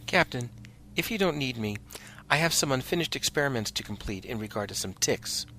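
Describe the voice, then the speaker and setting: A man's voice: mid-range voice, expressive, brisk, pushed and bright, a little hiss or hum. A man playing a sly, eccentric rabbit ship's doctor with a scheming, pointed manner in a space opera audio drama.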